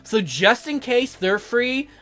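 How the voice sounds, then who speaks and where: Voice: medium pitch, animated, slow pace, a bit bright. A let's player reading a visual novel aloud, joking crudely and irreverently between the voiced lines. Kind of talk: comedic game playthrough, voicing characters.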